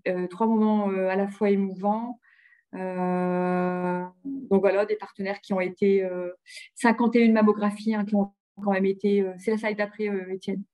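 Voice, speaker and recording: A woman's voice: mid-range voice, warm tone. A woman giving an informal webinar slide presentation, often hesitating and repeating words as she looks for her phrasing. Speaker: presenter of local health programmes.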